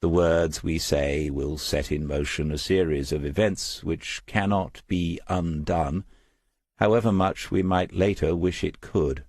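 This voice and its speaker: A man reading a scripted crime novel as an audiobook narrator, in a measured storytelling manner. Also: sits low, fairly even intonation, a little mellow, no echo, studio quality.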